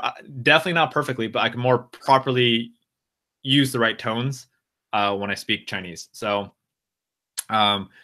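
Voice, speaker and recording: An American man hosts a live stream, speaking in a bright, forceful voice at a middling pitch. He rambles easily, stopping and restarting as he reads out and answers his viewers' comments. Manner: loose, rambling, full of restarts and fillers. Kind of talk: casual live stream chat.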